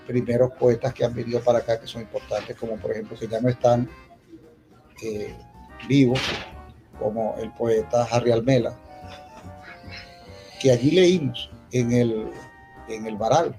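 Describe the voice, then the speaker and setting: Venezuelan male, creaky middle register, unscripted festival speaker, halting and emphatic, light music behind. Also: mid-range voice, mellow, poor audio. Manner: halting, repetitive, with emphatic restatements. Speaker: poet speaking at a poetry festival. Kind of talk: unscripted public talk, reminiscing.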